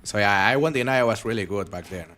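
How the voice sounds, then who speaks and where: Young guy streaming a hunting game, accented, low-ish voice, just rambling to chat. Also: fast, a little hiss or hum. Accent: foreign accent. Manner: relaxed, chatty, rambling with frequent restarts. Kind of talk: live game stream commentary reading chat.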